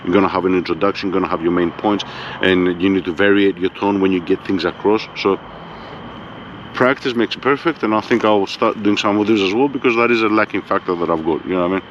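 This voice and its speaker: A low-voiced man with a Greek accent talks casually in a fairly monotone delivery, swearing freely and filling gaps with a habitual filler question. The recording sounds dull and lo-fi, with a faint hum of urban outdoor noise behind him. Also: quick pace. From Greece. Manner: laid-back, swears freely, keeps saying "you know what I mean". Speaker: vlogger. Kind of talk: casual monologue.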